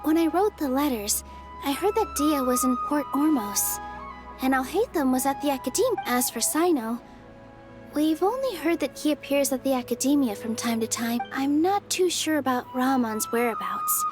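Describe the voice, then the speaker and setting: Sweet modest dancer girl from an anime game, high voice, loud music behind. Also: expressive, moderate pace. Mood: gentle concern. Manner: sweet, considerate. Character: gentle, modest young female dancer.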